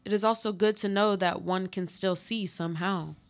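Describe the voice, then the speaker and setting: A plaintive, injured woman trapped under rubble, played by an actress. Her mid-pitched voice carries resigned, earnest monologues in the poetic style of a literary radio play. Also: fairly even intonation, normal speed, close to an everyday voice. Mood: pained, resigned.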